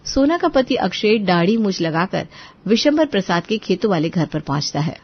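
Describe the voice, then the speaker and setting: A woman's voice: medium pitch, very animated, normal speed, breathy edge, muffled recording. A woman narrator reading a scripted moral tale in storytelling style.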